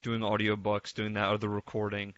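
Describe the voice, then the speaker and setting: A man reminiscing on a casual group call, thoughtful and halting, with informal phrasing. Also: lowish voice, completely flat delivery, fairly bright tone, no echo. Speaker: participant in a memorial group call. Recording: dull, dry lo-fi call audio.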